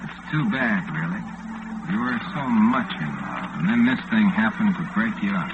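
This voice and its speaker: A knowing, uncanny man speaking out of a radio with false sympathy and quiet menace. The voice is lowish, in 1940s horror style, on a thin recording with heavy noise. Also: moderate pace. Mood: knowing, mock-sympathetic. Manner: ominous, calm, mock-sympathetic. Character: eerie, knowing male voice from the radio. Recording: tinny, noisy old radio recording.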